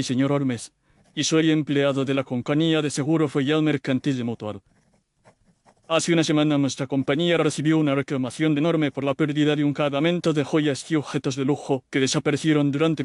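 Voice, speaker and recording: A man's voice: a little high, fairly even intonation, fairly quick, darkish tone, no room sound, voice pushed up, natural voice. A man voicing a humble, anxious young insurance clerk, formal and deferential, in an audio detective story. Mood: anxious, earnest.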